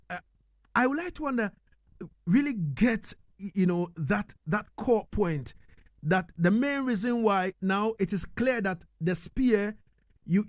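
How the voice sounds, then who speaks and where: A man hosting a live music interview on radio, asking halting questions with repeated words and emphatic restatements. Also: high voice, dry recording. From Ghana.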